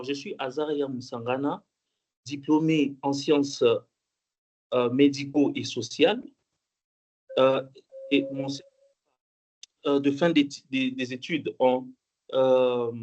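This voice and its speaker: A man's voice: mid-range voice, a little mellow, muffled recording. A young man from the Congo giving a talk at an online conference, hesitant with many fillers and restarts, repeating points for emphasis. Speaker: conference speaker. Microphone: online call mic.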